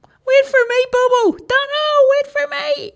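A man voicing a timid, jittery male character who stammers in a very high, squeaky voice. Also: fairly even intonation, a little slow. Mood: panicked. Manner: stammering, panicky.

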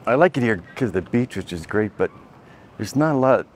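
A man's voice: lowish voice, normal speed, a little hiss or hum. Rambling man vlogging on a beach walk. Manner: rambling, relaxed, self-correcting. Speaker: travel vlogger. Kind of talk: casual walking vlog. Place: outdoors by a beach and dirt roads. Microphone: handheld camera, filming first-person.

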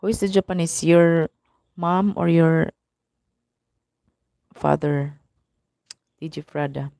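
Husky, gravelly Filipino woman live streaming. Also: low voice, mellow, no echo. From Philippines. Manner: slow, greeting viewers by name. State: husky from a cough. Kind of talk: live stream chat reading viewer comments. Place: small room indoors. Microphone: clip-on mic held at the mouth.

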